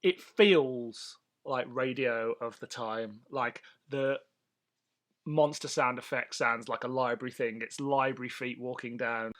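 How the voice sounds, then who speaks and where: A man's voice: mid-range voice, fairly even intonation, unhurried, fairly bright tone. A man co-hosting a podcast, talking through a review in a casual, jokey, sweary way. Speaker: podcast host. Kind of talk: casual chat, review discussion.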